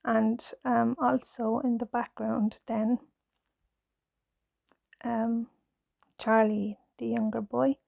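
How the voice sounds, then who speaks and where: An Irish woman in her thirties with a husky voice, talking gently and hesitantly in a soft ASMR chat at home, into a clip-on mic. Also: a little high, little pitch movement, slow, pauses often, no echo. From Ireland. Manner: gentle, hesitant, nervous, with many fillers. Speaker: knitting ASMR creator, mother. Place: home, in pajamas. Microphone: clip-on mic on pajamas.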